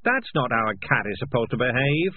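A man's voice: medium pitch, big pitch swings, fairly quick, narrow, tinny audio, slight background noise, pitched above the performer's own voice, close to an everyday voice. Man doing a raised, guilt-ridden, blustering tomcat. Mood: defensive, agitated. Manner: blustering, evasive, emphatic. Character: talking tomcat, selfish, gloomy, guilt-ridden, defensive.

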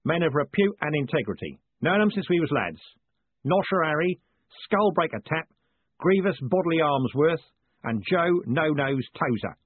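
A male reader acts out a cheerful storybook coachman, loyal and a little sly. His voice rides high and husky, eager to please his gentleman passenger. The sound is narrow, like a phone line. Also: animated, moderate pace, dry recording. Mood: proud, cheerful. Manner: folksy, deferential, eager.

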